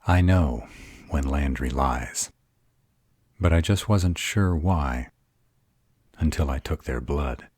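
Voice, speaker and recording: A man playing an arrogant, commanding vampire in an audio drama, speaking in a measured, imperious way. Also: a little mellow, dry recording, exaggerated voice. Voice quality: very deep, husky. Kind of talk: dramatic audio roleplay acting.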